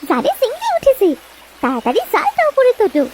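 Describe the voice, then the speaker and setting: A woman voicing an anxious, excitable young village girl in a cartoon. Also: very high-pitched, a little fast, heavily acted. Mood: excited. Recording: noisy track with ambience behind.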